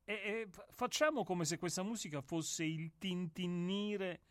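A man co-hosting a radio programme, casual and playful, with a deliberate, mock-literary way of talking. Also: high voice, a bit bright, dry recording, studio quality. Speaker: radio show co-host.

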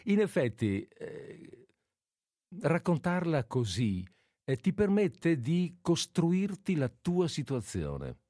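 A man hosting a casual call-in radio show, speaking in a halting, reflective way. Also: a little high, huge pitch swings, warm tone, dry recording, studio quality. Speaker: radio host.

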